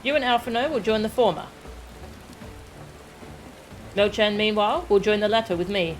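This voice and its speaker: A woman's voice: mid-range voice, faint music behind, natural voice. Woman reading as a formal, commanding military captain. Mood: businesslike. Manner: measured, formal, authoritative wording. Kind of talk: video game dialogue read aloud.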